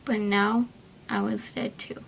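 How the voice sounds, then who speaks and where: Girl reading her story out loud, kinda creaky voice, bit of rumbly noise behind her, trips on words now and then. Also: flat delivery, slow. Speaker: video creator reading own story. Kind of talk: amateur story reading to camera.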